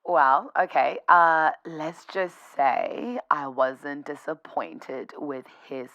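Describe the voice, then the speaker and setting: An actress playing an anxious young woman with a Zimbabwean accent in a naturalistic audio drama, expressive and conversational. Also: sits low, slow pace, no echo, highs cut off, exaggerated voice. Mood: coy, amused. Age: young adult.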